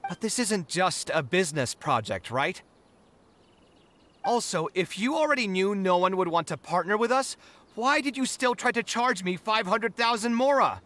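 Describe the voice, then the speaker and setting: A man's voice: high voice, lively intonation, normal speed, pushed and bright. A man voicing an idealistic, dramatic and easily flustered young architect in a video game, earnest and exasperated. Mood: indignant. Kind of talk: video game character acting.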